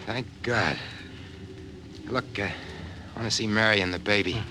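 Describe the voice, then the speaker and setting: A man's voice: fairly low pitch, measured pace, natural voice. An American man acting in a 1950s radio drama as a shaken, exhausted young pilot, plain-spoken and strained. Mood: shaken, relieved. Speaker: radio actor. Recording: noisy old 1950s radio recording.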